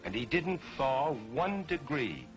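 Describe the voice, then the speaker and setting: A man narrating as a storyteller in a theatrical scripted style, deliberate and emphatic, on a vintage 1940s television soundtrack. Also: medium pitch, very animated, over loud music, low-quality recording. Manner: deliberate, emphatic, dramatic phrasing.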